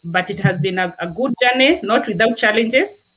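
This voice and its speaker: Bright-voiced woman guest. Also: medium pitch, lively intonation, normal speed, low-quality recording. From Kenya. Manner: earnest, talks with vivid everyday comparisons. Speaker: nurse and guest. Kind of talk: interview answers.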